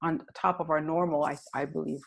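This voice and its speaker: Gravelly-voiced woman interviewer. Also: low-pitched, steady tone, brisk. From Sudan. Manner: thoughtful, with many fillers and "you know"s. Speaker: interviewer, filmmaker. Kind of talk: festival Q&A conversation.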